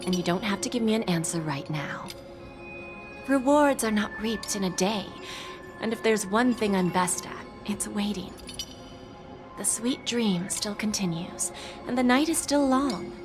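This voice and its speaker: Woman doing a smooth sly dealmaker lady for a game, music behind her. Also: medium pitch, animated, measured pace, natural voice. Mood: coaxing. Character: patient, cunning woman who deals in trades.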